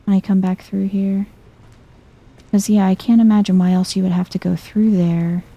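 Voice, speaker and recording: A soft-spoken woman giving chill, hushed ASMR commentary while thinking aloud through a video game. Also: medium pitch, flat delivery, moderate pace.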